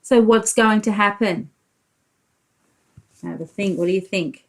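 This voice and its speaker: A woman's voice: mid-range voice, measured pace, slight background noise. A woman preschool teacher reading a storybook aloud to young children, expressively, explaining as she goes and putting questions to the listeners.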